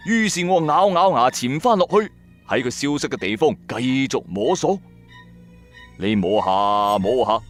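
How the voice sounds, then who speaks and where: Male narrator, mid-pitched, very animated intonation, dramatic colloquial horror storytelling. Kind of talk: first-person supernatural horror storytelling.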